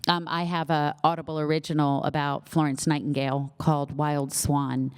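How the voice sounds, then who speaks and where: A woman's voice: sits low, level tone, moderate pace, smooth. Chatty middle-aged woman with a slight lisp. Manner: chatty, warm-humored, self-deprecating, many fillers. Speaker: author at book launch. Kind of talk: casual Q&A chat with audience. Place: event hall with live audience.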